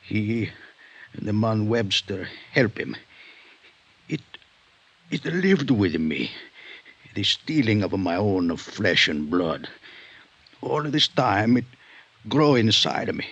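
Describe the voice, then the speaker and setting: An old Italian patriarch, stubborn and vengeful, on a muffled 1950s radio recording. The male voice is low and gravelly, with a thick Italian accent. He broods through broken, halting English. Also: very animated, fairly bright tone, heavily acted. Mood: cold, vengeful. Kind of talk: 1950s radio drama acting.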